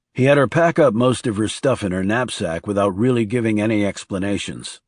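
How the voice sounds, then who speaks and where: A man's voice: on the low side, breathy edge, dry recording, studio quality. A man reading scripted thriller narration as an audiobook narrator.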